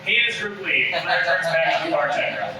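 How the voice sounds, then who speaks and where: A man's voice: fairly high pitch, lively intonation, brisk. A man reading out stage directions as scripted narration at a live table read. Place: live reading in an echoey room. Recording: echoey room, distant mic, background noise.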